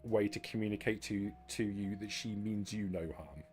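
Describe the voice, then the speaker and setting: A man running a tabletop game, explaining the scene in halting, improvised narration. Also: lowish voice, steady tone. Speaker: game master. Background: soft music.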